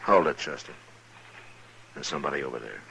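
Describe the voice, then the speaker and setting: An actor playing a stern, steady western marshal in an old-time radio drama, terse and measured in his commands and narration. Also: rumbling low, creaky, noisy background. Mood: hushed, grim. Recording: vintage radio drama with music bed.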